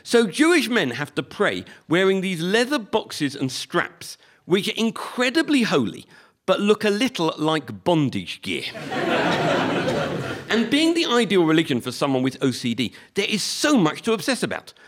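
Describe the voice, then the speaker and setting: A man with a Liverpool accent performing a stand-up comedy monologue with a wry, deadpan delivery. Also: high-pitched, moderate pace. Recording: live recording with audience noise.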